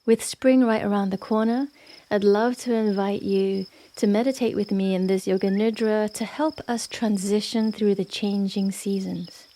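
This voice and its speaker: A woman guiding a yoga nidra meditation in a gentle, unhurried manner with long pauses. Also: medium pitch, steady tone. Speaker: meditation guide. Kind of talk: guided yoga nidra meditation, soothing narration.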